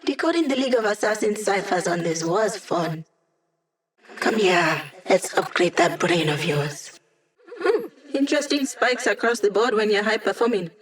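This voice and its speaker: Woman playing young hacker woman, digital mind, medium pitch, casual, teasing, upbeat, video game character. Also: lively intonation, normal speed, natural voice. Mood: wry, upbeat.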